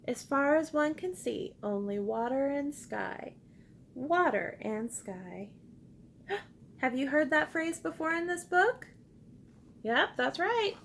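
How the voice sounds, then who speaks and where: A woman reading a picture book aloud to young children like a teacher, expressive and encouraging, pausing to ask simple questions and invite repeats. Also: high-pitched, creaky, slight background noise. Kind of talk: interactive children's read-aloud with call-and-response prompts.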